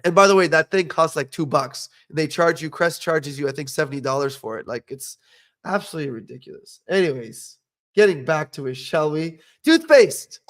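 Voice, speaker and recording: Emphatic, opinionated man, slightly high voice. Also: expressive, moderate pace, a bit bright. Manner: opinionated, emphatic, frequent "okay?" check-ins. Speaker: podcast host, dental professional. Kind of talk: casual educational podcast talk.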